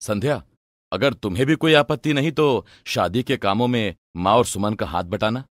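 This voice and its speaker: A man playing a dutiful, thoughtful young son in an animated moral story, speaking earnestly and respectfully. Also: on the low side, normal speed, dry recording, close to an everyday voice.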